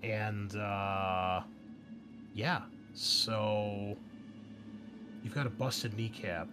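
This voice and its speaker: A man's voice: on the low side, fairly even intonation, very slow, music in the background. A man hosting a tabletop roleplaying game as game master, recapping in a casual, rambling, joking and teasing way. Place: indoors at a desk.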